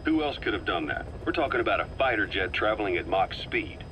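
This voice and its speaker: An actor playing a calm, calculating middle-aged military officer in a video game, speaking with measured, persuasive assurance. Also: male voice, lowish voice, a little hiss or hum, low-quality recording. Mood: assured, reasoning. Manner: measured, assured, reasoning patiently. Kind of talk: dramatic game voice acting.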